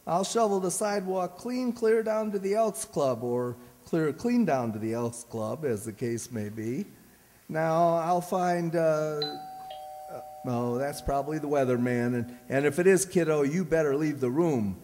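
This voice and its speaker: A stubborn, grumbling American husband for an old-time radio comedy. His voice sits a little high, he blusters in folksy slang, and the sound has a long hall reverb. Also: lively intonation, measured pace, a little mellow. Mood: exasperated, blustering.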